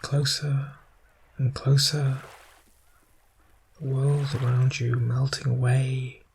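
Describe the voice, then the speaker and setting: A man guides a relaxation exercise in a soft, soothing ASMR style. His delivery is very slow, with gaps between short phrases, and his voice has a gravelly creak. There is faint noise behind him. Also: mid-range voice, little pitch movement. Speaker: guided meditation narrator. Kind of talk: ASMR guided imagery narration.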